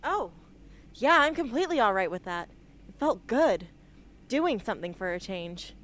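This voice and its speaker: A woman's voice: fairly high pitch, very animated, moderate pace, a bit bright. A woman acting a defiant, earnest young rebel heroine in an amateur radio drama. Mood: assured.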